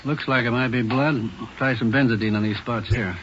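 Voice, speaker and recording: A man's voice: mid-range voice, fairly even intonation, normal speed, close to an everyday voice. A male actor playing a methodical, matter-of-fact American police crime lab lieutenant in a 1940s radio drama. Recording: dull old radio recording, faint noise.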